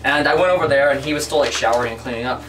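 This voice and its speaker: Guy rattling off a bar story quick, mic far away. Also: medium pitch, a bit bright. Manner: quick, storytelling with quoted speech. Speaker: touring band member. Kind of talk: casual anecdote.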